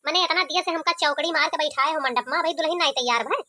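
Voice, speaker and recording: A woman's voice: high-pitched, fast talker, sharp tone. A woman voicing an impatient, chatty member of a wedding party in a cartoon, with an Awadhi accent. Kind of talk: cartoon comedy voice acting.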